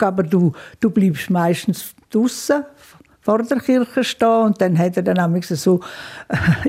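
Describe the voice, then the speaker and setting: An older woman with a Swiss German accent speaks slowly and reflectively, answering interview questions about her youth. Her voice is mellow and warm in tone, with occasional soft laughter and hesitant fillers. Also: mid-range voice. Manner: thoughtful, frequent fillers, laughs softly at times. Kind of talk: reflective life-story interview.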